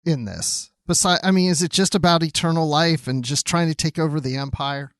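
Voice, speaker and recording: A man's voice: a little high, a little fast, no echo, studio quality. A man hosting a podcast, putting questions to guests in a casual conversational style. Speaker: podcast host. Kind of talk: casual interviewing.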